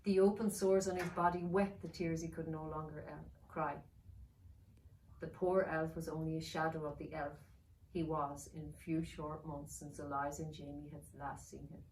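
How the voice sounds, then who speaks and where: A woman author narrating her children's fantasy novel in a measured, expressive storytelling way. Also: sits low. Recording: roomy, distant mic with faint noise.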